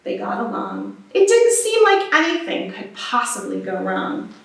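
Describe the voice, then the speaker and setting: A woman reading a picture book aloud for children in an expressive, animated storytelling manner. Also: mid-range voice, a little slow, vocal fry. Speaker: storybook reader. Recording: very echoey room, distant microphone.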